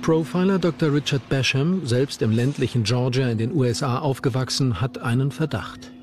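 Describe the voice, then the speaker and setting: A man's voice: medium pitch, moderate pace, noisy background. A man reading scripted documentary narration as the narrator. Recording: music under the voice. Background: background music.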